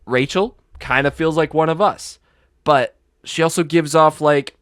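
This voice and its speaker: A young man from Maine with a Maine accent, co-hosting a casual chat podcast with excitable, laughing, animated delivery. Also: on the high side, unhurried, dry recording, studio quality. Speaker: podcast co-host.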